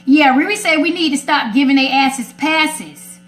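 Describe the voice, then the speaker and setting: A woman's voice: high-pitched, moderate pace, fairly bright tone, faint music behind. An American woman hosting a casual radio chat, outspoken, sassy and emphatic as she gives her opinions. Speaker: radio segment host. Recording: roomy echo.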